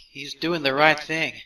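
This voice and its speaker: A man's voice: fairly high pitch, little pitch movement, slow pace, bright, forceful tone, recorded from a distance, slight background noise, exaggerated voice, low-quality recording. A man playing an earnest, stubborn young robot leader in an audio drama, speaking with insistence. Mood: defensive.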